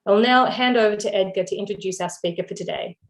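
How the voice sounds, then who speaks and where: A woman from Australia hosting an online lecture, reading prepared welcome remarks and a land acknowledgement in a steady, formal manner. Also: mid-range voice, fast talker. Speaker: event host. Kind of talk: scripted event welcome and introduction. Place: online video event.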